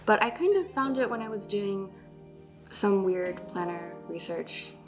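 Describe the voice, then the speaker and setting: A woman's voice: mid-range voice, lively intonation, unhurried, faint music behind. A woman co-hosting a casual stationery unboxing, chatty and enthusiastic with frequent fillers. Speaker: stationery shop co-host. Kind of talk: casual product unboxing chat.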